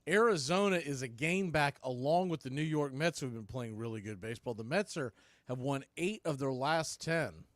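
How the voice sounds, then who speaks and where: A man's voice: mid-range voice, lively intonation, normal speed, no room sound. A man working as a baseball announcer, giving live play-by-play commentary mixed with score updates in clipped phrases.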